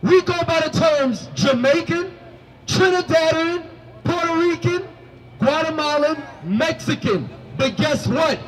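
A young man with a very high voice preaches on a busy city sidewalk, microphone in hand. He quizzes the passersby and climbs into commanding shouts. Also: unhurried, slight background noise, rough recording. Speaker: street preacher. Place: city sidewalk by a food cart. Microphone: handheld microphone.

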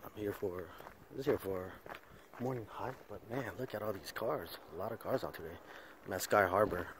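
A man's voice: on the low side, fairly quick. A man in his thirties talking casually to an action camera while fishing by a river and hiking a hill trail. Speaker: fishing vlogger. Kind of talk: casual outdoor vlog. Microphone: action camera, chest-mounted and held at arm's length. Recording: loud wind and water noise outdoors.

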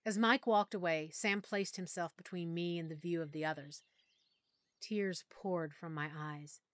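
Low-voiced woman narrating an audiobook. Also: steady tone, unhurried, dry recording. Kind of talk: first-person audiobook narration.

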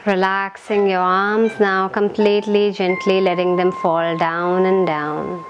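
A woman leading a guided meditation in a calm, gentle, soothing manner, giving instructions in short phrases with long pauses. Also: mid-range voice, muffled recording, noisy background.

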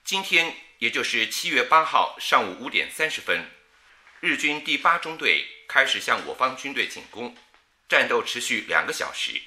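Forceful wartime radio news announcer. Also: male voice, mid-range voice, sing-song, normal speed. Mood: grave, urgent. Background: music.

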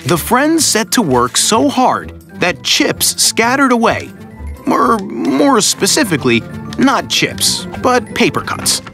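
A man narrating a children's story in a playful, expressive storybook manner. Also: high-pitched, takes it slowly, soft background music.

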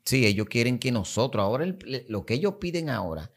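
A man's voice: medium pitch, big pitch swings, fairly quick. A man in his forties with a Dominican Spanish accent, talking emphatically and repeating his points as a podcast guest. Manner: emphatic, repetitive, gestures while talking. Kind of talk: podcast conversation. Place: small studio set. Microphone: studio mic on boom arm.

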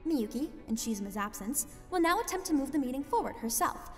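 A fairly high, lively voice, a woman's, narrates in a wry, matter-of-fact tone. A long reverb trails each line, with soft music behind. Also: fairly quick. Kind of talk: fan dub acting.

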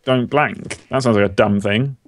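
A man's voice: medium pitch, normal speed, soft background music. A young man playing a party game, teasing and giggling with his friends. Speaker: game player. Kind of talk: casual gaming chat.

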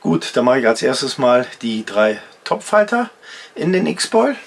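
A man's voice: medium pitch, sing-song, a little slow, gravelly. A man in his fifties hosting a casual gear vlog from his home workshop, chatting to viewers and explaining each step as he shows it. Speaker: outdoor gear channel host. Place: indoor home workshop at a workbench.

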